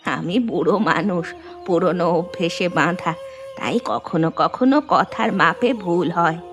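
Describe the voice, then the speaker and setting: A woman voicing an affectionate old mother-in-law in an animated moral story, speaking tenderly with homely advice. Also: lowish voice, unhurried. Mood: reassuring. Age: older. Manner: tender, advising, plain homely speech. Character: old Bengali mother-in-law, affectionate, gentle, home cook. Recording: music behind the voice.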